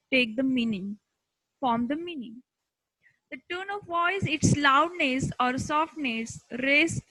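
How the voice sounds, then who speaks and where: Slow, high-pitched Indian woman instructor. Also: pushed and bright, no echo, low-quality recording. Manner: deliberate, halting phrasing in short chunks. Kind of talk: scripted instructional lecture.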